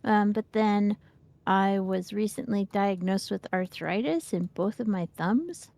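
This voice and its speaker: A woman's voice: mid-range voice, unhurried, vocal fry, a little mellow. A woman in her fifties chatting casually and candidly about gaming as a streamer. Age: 50s. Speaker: game streamer, co-host.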